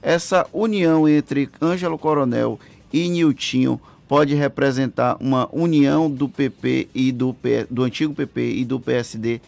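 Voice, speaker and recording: A man's voice: mid-range voice, measured pace, gravelly, a little mellow. A man working as a radio journalist, asking formal, analytical questions in an interview.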